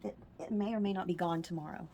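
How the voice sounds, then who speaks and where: Woman, hoarse and husky, low-pitched, soft-spoken tarot reader, faint background hiss. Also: fast talker. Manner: soft-spoken, drifts into whispers at times. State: hoarse, losing her voice. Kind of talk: spiritual reading, casual monologue.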